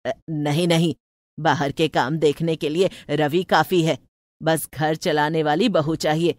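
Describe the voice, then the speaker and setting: A woman voicing an older, traditional village mother in an animated moral story, opinionated yet loving, with a firm, homely manner. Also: deep voice, unhurried, no room sound, voice pushed down. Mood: disapproving.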